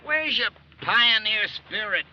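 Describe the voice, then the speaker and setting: An eager young American GI with a high, boyish voice that swings up and down. He is curious and keen, in 1940s radio drama style. The recording is muffled, with faint noise behind it. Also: expressive, takes it slowly, sharp tone, exaggerated voice. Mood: eager, coaxing.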